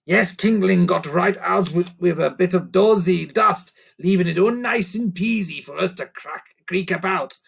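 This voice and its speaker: Guy doing a gruff cheeky creaker monster, gravelly, mangles his words. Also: high voice, unhurried, hardly any pauses, voice pushed up, exaggerated voice. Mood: mischievous. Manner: growly creature voice with odd grammar. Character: sly male creaker creature, gruff and cheeky.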